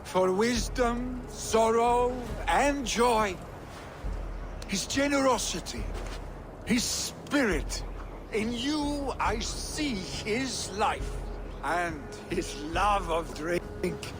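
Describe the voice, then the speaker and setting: A young Norse warrior, earnest and tender in his grief. His voice sits slightly high and moves slowly, with solemn, measured feeling. Music plays clearly behind this dramatic video game performance. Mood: proud, mourning.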